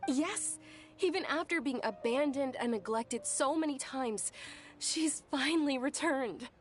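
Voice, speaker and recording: A woman's voice: high-pitched, unhurried. A woman playing a sincere, devout, slightly self-conscious young woman in a video game, earnest and emotive. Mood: heartfelt. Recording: game music under the voice.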